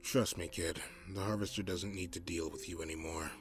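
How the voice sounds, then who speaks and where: A man playing a gruff, cynical, determined detective in an audio drama, blunt and condescending, with swearing. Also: deep voice, fairly even intonation, a little fast, faint music behind. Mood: determined, cocky.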